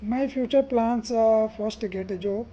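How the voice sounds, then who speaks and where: Mellow-voiced Indian woman host. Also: on the high side, unhurried, muffled recording. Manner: asks short questions, acknowledges with brief okays. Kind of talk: casual language-practice chat.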